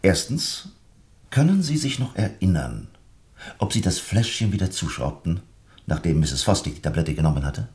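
A man playing a courteous, methodical police inspector in a German radio play, questioning politely, deliberately and insistently. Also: low voice, very animated, a little mellow. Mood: polite, probing.

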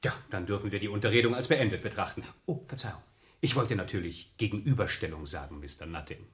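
A man's voice: fairly low pitch, quick pace, natural voice. An actor playing a self-assured male store manager who is haughty and mockingly polite, in a German radio crime drama. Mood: smugly polite.

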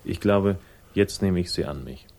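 An actor playing a dry, laconic hard-boiled investigator in a 1960s German radio crime drama, deadpan and sardonic. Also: male voice, low voice, normal speed, vocal fry. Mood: terse, insistent. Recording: 1960s studio radio play with music.

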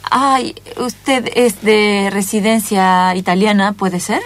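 A woman's voice: medium pitch, fairly even intonation, takes it slowly. A woman playing a sweet, lovestruck, easily flustered male hotel clerk in an improvised radio play, hesitant and gushing. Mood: smitten.

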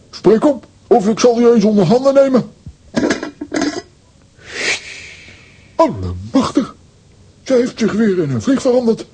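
A man voicing a vain, gullible, blustering comic hero in a children's audio drama, husky and boastful. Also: very high-pitched, warm tone, exaggerated voice. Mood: blustering, angry. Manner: boastful, indignant.

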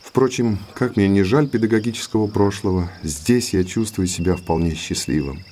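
An actor playing a genial, bookish naturalist in an audio drama, courteous, talkative and polished. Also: male voice, fairly low pitch, moderate pace, mellow, slight background noise, close to an everyday voice. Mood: sociable, courteous. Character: genial bookish male naturalist neighbour, eagerly sociable.

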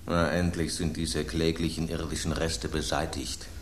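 An actor playing a grumpy, blunt male loaf of house bread in a radio drama, with a gruff, scornful manner. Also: a bass voice, little pitch movement, moderate pace, a little hiss or hum. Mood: disdainful. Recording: roomy studio echo.

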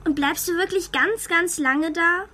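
A woman's voice: very high-pitched, a little slow, pushed and bright, heavily acted. A woman playing a young girl who longs for her absent father in a children's radio play. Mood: eager, questioning.

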